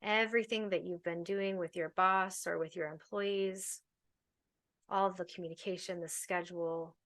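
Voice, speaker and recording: A woman leading a guided meditation as a teacher, giving soothing, unhurried instructions with pauses. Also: lowish voice, fairly even intonation, fairly bright tone.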